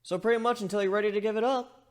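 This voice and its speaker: A man's voice: high voice, steady tone, very fast, natural voice. A man voicing a chatty, wry griffin who narrates a fan fiction story in a conversational, rambling way. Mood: casual, friendly. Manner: conversational, rambling, self-interrupting.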